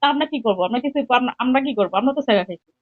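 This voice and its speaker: A woman's voice: fairly high pitch, lively intonation, racing, narrow, tinny audio. A woman chatting casually as a conversation partner, repeating phrases for emphasis. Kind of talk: casual chat.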